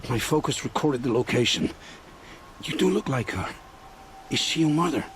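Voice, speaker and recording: A man's voice: mid-range voice, animated, normal speed, slight background noise, lightly acted. A man playing a fearful, pleading informant in a video game, speaking earnestly and anxiously. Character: fearful, pleading male informant appalled by machines. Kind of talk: video game cutscene dialogue.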